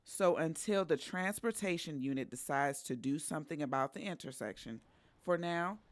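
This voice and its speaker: A woman's voice: low voice, moderate pace, no echo. A woman working as a local television reporter, reading scripted news in a broadcast reporting style.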